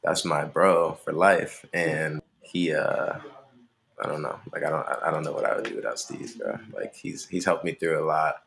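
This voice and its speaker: Very deep, gravelly-voiced young man. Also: steady tone, moderate pace, fairly bright tone, slight background noise. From Maryland, USA. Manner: laid-back, rambling, many fillers. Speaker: interview guest, musician. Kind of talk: casual conversational interview.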